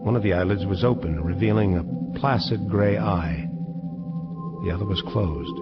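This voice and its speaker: An actor playing a somber angel detective who narrates in the first person, solemn and deliberate, in hardboiled style. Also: male voice, deep voice, dull audio, loud music behind the voice, lightly acted. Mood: detached, observant.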